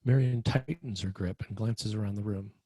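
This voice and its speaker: A man narrating scene directions for a screenplay table read, with a slightly husky voice. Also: lowish voice, fairly even intonation, moderate pace, dark tone, no echo. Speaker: narrator reading stage directions.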